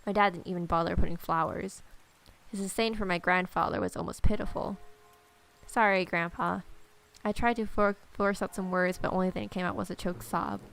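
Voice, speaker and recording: Woman reading aloud with a creaky voice. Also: fairly low pitch, steady tone, fairly quick, fairly bright tone. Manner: irreverent, sarcastic. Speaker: let's player. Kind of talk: reading visual novel aloud with casual joking asides.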